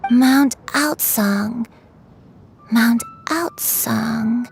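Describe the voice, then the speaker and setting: An actress playing a frail, sorrowful old grandmother with failing memory in a video game, speaking haltingly and wistfully. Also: fairly high pitch. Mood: distressed, faltering. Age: older. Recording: game music under the voice. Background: soft game music.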